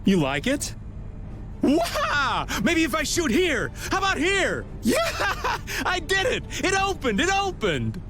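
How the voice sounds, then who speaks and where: A man's voice: very high-pitched, huge pitch swings, slow, pushed and bright, heavily acted. A man voicing a goofy, folksy middle-aged rogue in a video game, with a clownish, theatrical manner. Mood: excited. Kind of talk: animated video game dub acting.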